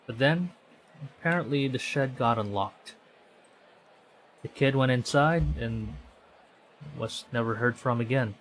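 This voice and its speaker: A man's voice: mid-range voice, a little slow, long pauses, a little hiss or hum, poor audio. A man reading a visual novel aloud on stream, conversational, often stumbling and correcting himself. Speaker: VTuber streamer.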